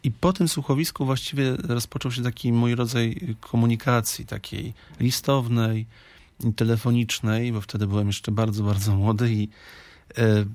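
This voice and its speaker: A man speaking as a radio interview guest in a studio, reflective and halting in delivery. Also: mid-range voice, little pitch movement, darkish tone, dry recording.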